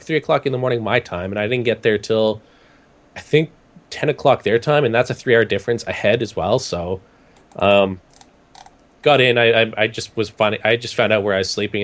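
A young man from Canada, hoarse from a cold, telling a rambling story in casual chat with many restarts. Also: medium pitch. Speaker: podcast guest recounting a trip.

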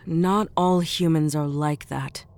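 Tough human woman acted by a woman for audio drama: low-leaning voice, dry, casual, sardonic edge. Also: steady tone, slow, a little mellow. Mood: defensive.

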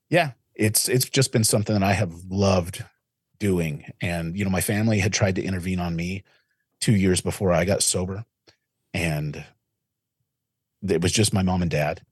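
A man's voice: sits low, moderate pace, breathy edge, no echo, clean studio sound. A man in his forties, an interventionist guest, talking earnestly and reflectively in an interview, often restarting his sentences. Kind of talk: casual interview talk.

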